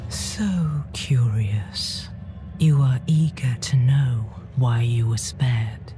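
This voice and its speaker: An actress playing a commanding, seductive demon queen in a dark fantasy game, breathy and menacing. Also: extremely low pitch, music in the background, exaggerated voice. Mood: calm, commanding. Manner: slow, breathy, menacing, imperious.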